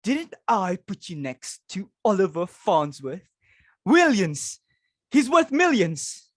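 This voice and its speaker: A woman's voice: medium pitch, sing-song, slow pace, no room sound, studio quality. A woman playing a fussy, social-climbing society hostess and mother, exclaiming, fretting and giving orders in a dramatic reading. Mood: exasperated, gushing. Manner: exclamatory, fretful, commanding.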